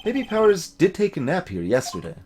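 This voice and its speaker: Man playing a young male lawyer, video game dialogue, earnest, skeptical, dry, lively intonation, faint noise. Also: high-pitched, a little fast. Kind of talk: voiced visual novel dialogue.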